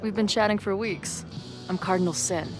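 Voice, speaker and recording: A woman's voice: medium pitch, normal speed, close to an everyday voice. A woman playing a wry, glib young amateur sleuth in a video game, naturalistic in delivery. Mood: bluffing. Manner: sardonic, glib, quippy. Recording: music under the voice.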